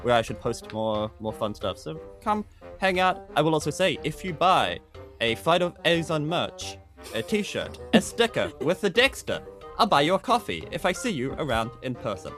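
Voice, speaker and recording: A man with a fairly high voice that swings up and down a lot. He talks casually and comically, poking fun at himself as one of a podcast cast. Faint music sits in the background. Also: big pitch swings, normal speed, a bit bright. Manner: jokey, self-mocking.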